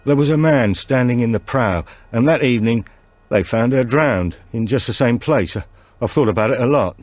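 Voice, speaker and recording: A jittery, evasive petty thief in an audiobook thriller, performed by a man. He speaks haltingly and repeats himself, with the pitch lifted above the narration. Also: a little mellow, no echo, close to an everyday voice. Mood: nervous. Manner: nervous, halting, stammering repeats. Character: Henrik, nervous male petty thief, talking evasively.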